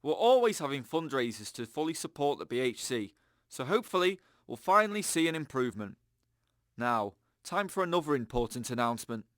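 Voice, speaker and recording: An actor playing an earnest, upbeat radio host, chatting to listeners in an audio drama. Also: male voice, medium pitch, sing-song, brisk, fairly bright tone, no echo, studio quality. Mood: chatty, sincere. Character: chirpy, earnest, government-trusting male radio show host.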